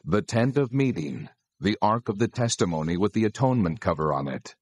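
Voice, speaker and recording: A man playing God in a dramatized scripture reading, speaking solemn, deliberate commands with authority. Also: lowish voice, big pitch swings, breathy edge, no echo. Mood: solemn, commanding. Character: God, solemn male deity giving commands.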